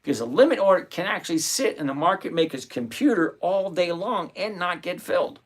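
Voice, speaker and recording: A man hosting a live stream, talking through his options trades out loud and answering viewers by name in a chatty way from a home desk full of screens. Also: sits high, lively intonation, fairly quick. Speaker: livestream host and options trader. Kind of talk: live-stream trading commentary, reading chat aloud.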